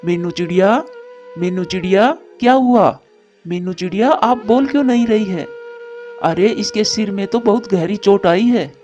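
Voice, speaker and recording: A man's voice: high voice, a little slow, darkish tone, highs cut off, soft background music. A man voicing a caring, troubled adult male bird in a cartoon moral story, speaking earnestly and with plain drama. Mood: alarmed.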